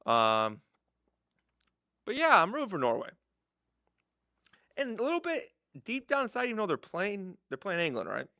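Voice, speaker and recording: A young man riffs goofily about sports, playfully naive and offhand. His bright voice has a gravelly edge and swings widely in pitch. The recording is dry and close. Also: wildly swooping pitch, moderate pace, long pauses, dry recording. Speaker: podcast co-host. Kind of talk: casual sports chat.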